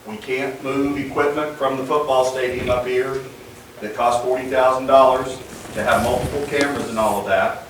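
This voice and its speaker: A man speaking loudly and bluntly at a school board meeting, recorded from a distance in a room. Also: mid-range voice, fairly even intonation, normal speed. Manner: loud, emphatic, blunt. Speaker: staff member handling the meeting's live stream. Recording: far from the mic in an echoey room with background noise.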